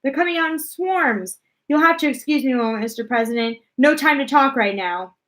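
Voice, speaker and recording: A woman voicing an excitable, folksy male astronaut from a storybook, gushing as he reports. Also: high-pitched, a little fast, voice pushed up. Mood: alarmed. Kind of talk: storybook read-aloud character voice.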